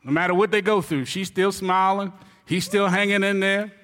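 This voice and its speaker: A pastor delivers a sermon in a high, bright voice, acting out his story with loud comic cries and quick asides to the listeners. His voice rings through a church hall with a long reverb. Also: fairly even intonation, a little hiss or hum.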